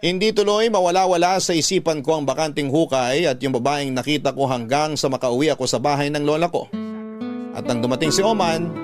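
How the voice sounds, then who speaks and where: A man's voice: fairly high pitch, brisk, faint music behind, natural voice. An actor playing a man who narrates his own eerie countryside memory in a radio story. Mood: reflective storytelling. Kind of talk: first-person dramatic narration of a radio story.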